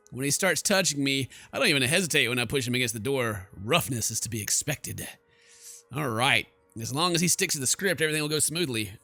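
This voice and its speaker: A man's voice: fairly high pitch, pushed and bright, no echo. A man hosting a game playthrough, reading story text aloud and adding joking, playful asides. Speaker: gaming video host. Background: game music.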